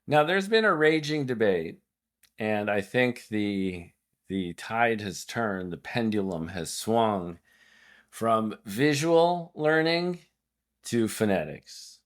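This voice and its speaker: A man's voice: fairly low pitch, expressive, slow. A man hosting a podcast interview, a native Hungarian speaker who talks in wordy, digressive stretches. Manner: discursive, wordy, prone to digressions. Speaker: podcast host.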